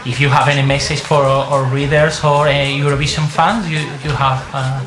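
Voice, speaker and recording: A man's voice: medium pitch, level tone, moderate pace. Male interviewer, hesitant questions full of fillers; roomy sound. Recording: echoey room with faint music.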